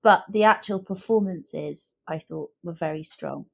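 A woman's voice: lowish voice, unhurried, vocal fry, darkish tone, husky. A woman co-hosting a casual book chat, speaking in articulate, considered phrases. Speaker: podcast co-host.

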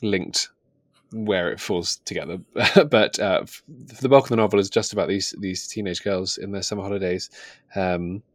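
A man's voice: low-pitched, fairly even intonation, moderate pace, no echo. A man co-hosting a podcast, discussing books casually with informal, hesitant phrasing. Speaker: podcast co-host.

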